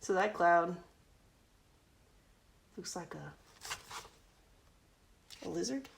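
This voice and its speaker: A woman's voice: on the low side, animated, very slow, gravelly, noisy background. A woman reading a children's storybook aloud in a casual live session, breaking off for chatty asides.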